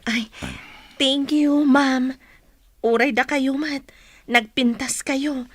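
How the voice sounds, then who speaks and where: A woman's voice: sits high, steady tone, takes it slowly. A woman playing a poor young woman in a radio drama, polite, sweet and eager to please. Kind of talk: melodramatic radio drama acting.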